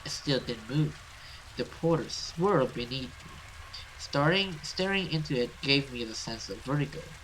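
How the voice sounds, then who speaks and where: A man's voice: mid-range voice, animated, moderate pace, a bit bright, a little hiss or hum, rough recording. A man giving casual let's play commentary and reading game text aloud. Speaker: gaming video host.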